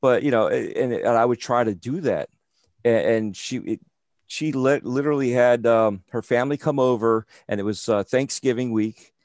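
A man's voice: mid-range voice, a little mellow, no room sound. Rambling male host, dry close sound. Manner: rambling anecdotes with many restarts. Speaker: interview host. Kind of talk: casual interview chat over a call.

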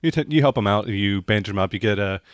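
A man's voice: fairly low pitch, quick pace, dry recording. A man narrating an improvised tabletop roleplaying game as the game master, in a conversational way.

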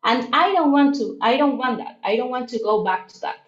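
A woman's voice: high-pitched, animated, a little fast, highs cut off. Latin American woman in an echoey room. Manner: reflective, with many fillers and restarts. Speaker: guest. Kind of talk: casual interview talk.

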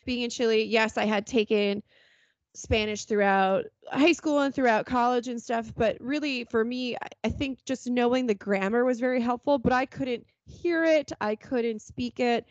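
A relaxed, chatty woman hosts a podcast and laughs easily. Her voice is recorded dry, with a slightly dull, lo-fi sound. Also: a little high, normal speed, no echo. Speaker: podcast host. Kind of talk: casual podcast chat.

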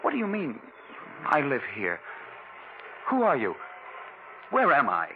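Bewildered male amnesiac, 1940s radio drama. Agitated, suspicious, animated intonation, slightly noisy old recording. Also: mid-range voice, measured pace, narrow, tinny audio. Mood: confused, indignant. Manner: agitated, questioning, disbelieving. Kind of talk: 1940s radio thriller acting.

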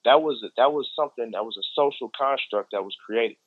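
An American man speaks in a casual, explanatory way, stressing points by repeating himself. His pitch sits in the middle for a man. The sound is very dry, with a dull, narrow band. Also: brisk, slightly rough, no room sound. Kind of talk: casual conversational discussion, explaining at length.

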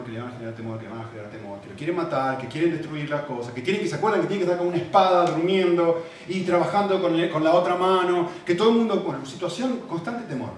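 A man with a Rioplatense Spanish accent preaching a sermon, animated and repetitive, often checking in with questions to the congregation. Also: on the high side, slight background noise. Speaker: pastor. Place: church. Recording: very echoey hall, mic at a distance.

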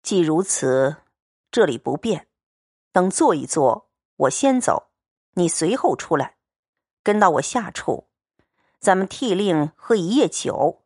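A woman's voice: on the low side, animated, takes it slowly, long pauses, darkish tone, breathy edge, no echo, clean studio sound, pitched below the performer's own voice, full character voice. A woman voicing a proud, cold young swordsman who speaks curtly and with a sneer. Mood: scheming, coaxing. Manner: curt, coldly sneering.

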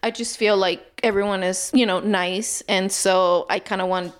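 A woman's voice: mid-range voice, steady tone, normal speed, clean tone. Chatty Salvadoran-accented woman guest. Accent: Salvadoran Spanish. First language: Spanish. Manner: chatty, many "like" and "um", giggles. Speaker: interview guest. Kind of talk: casual storytelling.

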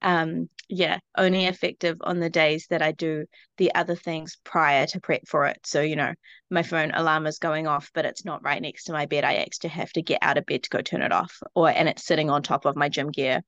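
A woman's voice: low-pitched, level tone, a little fast, a bit bright, dry recording. Coaching client, woman with a Kiwi accent, candid and rambling, slightly dull phone sound. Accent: New Zealand. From New Zealand. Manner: rambling and candid, with frequent ums and laughs. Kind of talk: casual group call.

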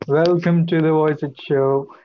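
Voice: medium pitch, fairly even intonation, unhurried, dull audio. A co-host of a live online show, introducing a guest with effusive praise and many fillers, over a video call.

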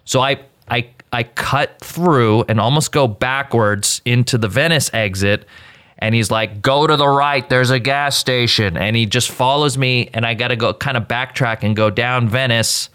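A man with an American accent, a show co-host, telling a casual, self-deprecating anecdote in a slightly rough voice. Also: mid-range voice, normal speed, no echo, clean studio sound. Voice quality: slightly rough voice from screaming the night before. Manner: self-deprecating, animated retelling with acted-out quotes.

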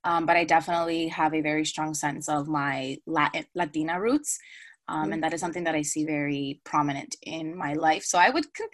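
Female podcast guest with an American accent, slightly low pitch, casual conversational interview style. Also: fairly quick, no echo. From Dominican Republic, raised in the United States. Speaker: podcast guest, wellness coach and Zumba instructor.

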